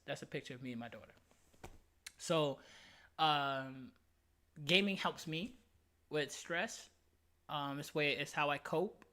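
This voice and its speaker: A man's voice: on the high side, bright, forceful tone. A man in his late twenties talking casually on a livestream, candid and emphatic, reading chat comments aloud. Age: 20s. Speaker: streamer.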